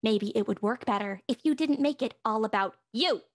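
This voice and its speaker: A woman's voice: fairly high pitch, moderate pace, dry recording, studio quality, lightly acted. A young woman playing a spoiled, dramatic socialite in an audio drama. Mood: indignant. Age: young adult. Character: spoiled, indignant young actress playing a secretive socialite house guest.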